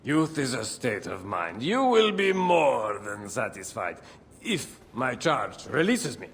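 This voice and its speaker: An actor playing a boastful, charming, ageing arena champion in a video game, gravelly and theatrical. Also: male voice, medium pitch, sing-song, fairly bright tone, slight background noise, full character voice. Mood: flirtatious, boastful. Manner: theatrical, unhurried. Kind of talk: video game dramatic acting.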